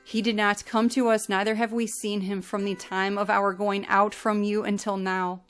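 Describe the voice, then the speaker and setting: A woman's voice: mid-range voice, level tone, normal speed, smooth, soft background music, lightly acted. A woman reading the guarded speech of a group of guilty brothers. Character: band of guilty brothers, grown men.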